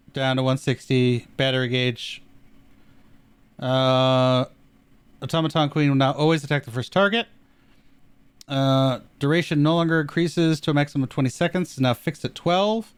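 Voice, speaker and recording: Smooth-voiced man reading patch notes. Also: medium pitch, steady tone, no room sound. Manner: easygoing, reads notes aloud with quick asides. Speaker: podcast co-host. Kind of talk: casual gaming chat, reading patch notes.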